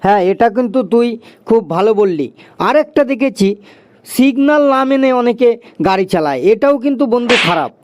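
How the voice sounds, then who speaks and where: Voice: mid-range voice, animated, brisk, a little mellow, lightly acted. A performer voicing a chatty, agreeable schoolboy in a cartoon, with a conversational, explaining manner. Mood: concerned, agreeing. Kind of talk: cartoon dialogue.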